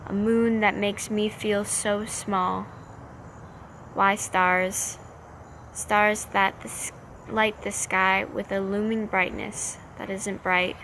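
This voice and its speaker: A woman reciting slam poetry in deliberate, measured short phrases. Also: mid-range voice, flat delivery, a bit bright. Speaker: slam poet. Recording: faint background noise.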